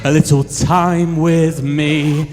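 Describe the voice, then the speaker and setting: A man's voice: on the high side, level tone, slow. A man playing a flirtatious would-be diva, singing a cabaret show tune in a pantomime. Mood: showy. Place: theatre stage, live show. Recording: long hall reverb, music behind.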